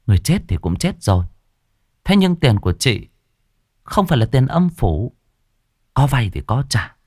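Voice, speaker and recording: A man's voice: mid-range voice, very animated, moderate pace, a little mellow, no room sound, clean studio sound. A man voicing a cold female loan-shark boss in a story, condescending, taunting and mock-sweet with veiled menace. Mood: cold condescension.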